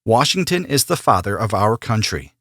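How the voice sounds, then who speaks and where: A man playing an immigration officer who asks formal, steady questions in a scripted practice interview. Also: lowish voice, normal speed, dry recording, clean studio sound, exaggerated voice. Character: male immigration officer, businesslike and neutral. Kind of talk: scripted role-play interview.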